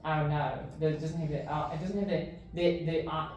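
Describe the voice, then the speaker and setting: A man's voice: fairly high pitch, flat delivery, normal speed, far from the mic, muffled recording, close to an everyday voice. A man voicing an eccentric, pompous debater with an invented, loosely New Zealand non-rhotic accent and merged vowels, in improvised comedy. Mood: explanatory. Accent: invented, loosely based on New Zealand. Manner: pompous, pedantic word choice. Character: eccentric pedantic man, chaotic, weird, grandly verbose debater. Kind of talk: improvised comic debate in character. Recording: very echoey room, off-mic, faint noise.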